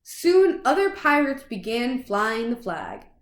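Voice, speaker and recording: High-pitched, animated woman reading a storybook. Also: takes it slowly, echoey room. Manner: animated, dramatic phrasing for young children. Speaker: children's storybook reader. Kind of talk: expressive picture-book read-aloud.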